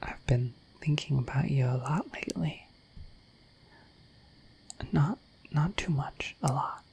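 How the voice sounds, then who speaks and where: The bashful dragon a woman acts out in a bedtime ASMR roleplay, murmuring slow, halting, affectionate apologies in a breathy whisper right beside your pillow. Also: sits low, a little mellow, exaggerated voice. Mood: flustered, affectionate. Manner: bashful, hesitant, apologetic, rambling. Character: shy, dorky, affectionate dragon visiting overnight.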